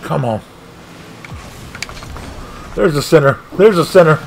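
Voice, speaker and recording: A man streaming a car soccer game, commentating his own play with excited outbursts and frustration at near misses. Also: a little high, takes it slowly, creaky, a little hiss or hum. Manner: animated, exclaiming, frustrated at missed shots. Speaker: gaming streamer. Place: home gaming room.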